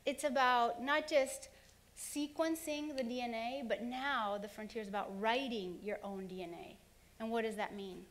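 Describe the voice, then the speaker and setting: A middle-aged woman who grew up in Honduras, giving an earnest conference keynote and running through examples in quick lists. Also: fairly high pitch, fairly even intonation, a bit bright. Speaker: keynote speaker. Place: conference hall.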